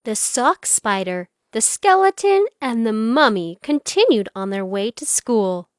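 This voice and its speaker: A woman narrating a children's storybook aloud in an expressive, dramatic storytelling style. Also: a little high, unhurried, dry recording, studio quality. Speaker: storybook reader.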